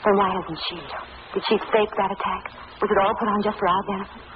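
A woman's voice: medium pitch, flat delivery, fast, close to an everyday voice. An actress playing an investigator's alert lady companion in an old radio drama, questioning with poise. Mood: inquisitive. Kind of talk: 1940s radio acting. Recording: muffled, noisy old radio recording.

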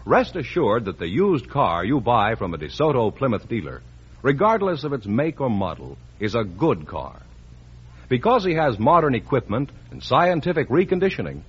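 A man's voice: mid-range voice, husky. Emphatic vintage radio announcer man. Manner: polished, emphatic announcer delivery. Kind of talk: scripted announcing and ad read. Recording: dull old radio broadcast.

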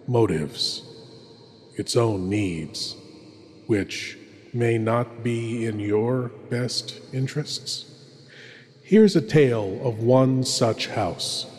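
A man playing a sinister, theatrical horror host lord, speaking with slow, intimate, dramatic deliberation. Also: medium pitch, darkish tone. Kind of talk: theatrical horror anthology introduction. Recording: long cavernous reverb, faint noise.

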